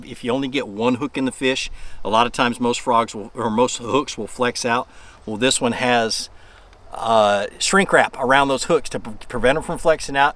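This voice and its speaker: A man in his forties walks through fishing tackle in a relaxed, rambling way. He talks outdoors on a boat into a close camera mic. He stumbles and repeats words as he goes. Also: mid-range voice. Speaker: fishing channel host. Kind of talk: casual tackle tutorial.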